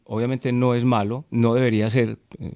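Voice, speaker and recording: A man's voice: mid-range voice, level tone, darkish tone, no echo, clean studio sound. A man with a Colombian accent hosting a radio call-in show, chatting casually and reading listener messages. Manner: chatty, drawn-out agreeing. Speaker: radio host.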